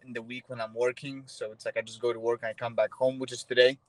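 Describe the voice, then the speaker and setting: A man's voice: medium pitch, fast talker, rough recording. A man in his thirties, a Polish native speaker, chatting in a casual interview from his car, with lots of fillers and restarts. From Poland. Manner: relaxed, many fillers and restarts. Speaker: interviewee, fighter. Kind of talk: casual video-call interview. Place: parked car. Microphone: phone held at arm's length.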